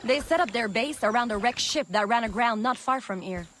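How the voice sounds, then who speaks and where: An actress playing a proud, sharp-tongued woman smuggler of the swamp in a video game, sassy and blunt. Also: on the high side, lively intonation, brisk, slight background noise. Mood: wry, boastful.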